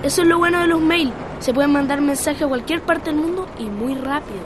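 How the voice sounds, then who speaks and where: A high-voiced performer playing an easygoing, joking young skateboarder with a Chilean accent in a radio drama. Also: a little fast, crowd noise, close to an everyday voice. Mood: casual.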